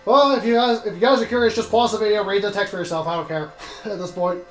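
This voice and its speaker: A man with a rather high voice hosts a casual video game commentary. He explains in a rambling, chatty way, in an echoey room with faint music behind. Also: steady tone, fast talker. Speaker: host of a video game let's play.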